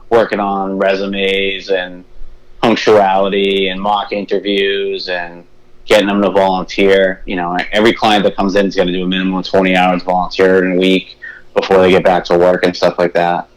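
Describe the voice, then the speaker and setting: A man with a Boston accent, a treatment center head calling in as a guest and calmly explaining his program. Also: low-pitched, low-quality recording. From Boston area. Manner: easygoing, explanatory, lists services steadily. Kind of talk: casual phone interview. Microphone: phone line.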